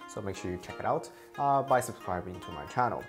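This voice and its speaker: A man's voice: on the low side, lively intonation, brisk, over music. A young Japanese man with a Japanese accent, a karate instructor hosting a video lesson to camera, earnest and emphatic, repeating key points. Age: young adult. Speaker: karate instructor and channel host. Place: indoor studio before a plain grey backdrop. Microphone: lapel mic.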